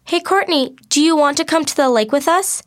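A woman reading a storybook, voicing an outspoken, fiery teenage girl at summer camp with quick, emphatic lines. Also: sits high, pitched above the performer's own voice, close to an everyday voice. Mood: friendly.

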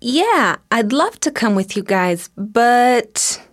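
A timid teenage girl, voiced by a woman reading aloud, high and halting, her pitch lifting and dropping as she hesitates. The sound is close and dry. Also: sing-song, no echo, full character voice. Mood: hesitant. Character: shy, nervous teenage girl.

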